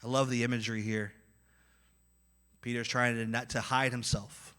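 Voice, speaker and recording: A man with a Midwestern accent preaching a sermon, acting out inner voices and swinging between loud and quiet. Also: medium pitch, moderate pace. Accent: Midwestern American. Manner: animated, acts out inner voices with swings in loudness. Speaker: pastor.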